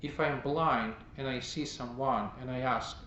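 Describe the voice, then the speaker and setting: A man, a priest, giving a teaching sermon in a slow, halting way, pausing often and repeating words for emphasis. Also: mid-range voice, a bit bright. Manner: slow, halting, with many pauses and fillers. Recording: roomy, dull, noisy recording.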